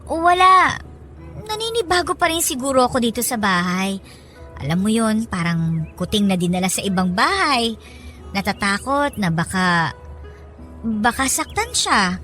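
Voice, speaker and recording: A woman's voice: a little high, expressive, measured pace, pauses often, pushed and bright, soft background music. A woman playing an anxious young wife and mother in a radio drama, speaking in a hesitant, plaintive way. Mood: anxious, evasive.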